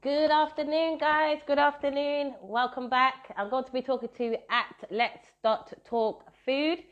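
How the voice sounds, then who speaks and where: A woman's voice: high voice, little pitch movement, measured pace, muffled recording. A woman hosting a live-stream interview, effusive and full of compliments, speaking over a video call. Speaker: host.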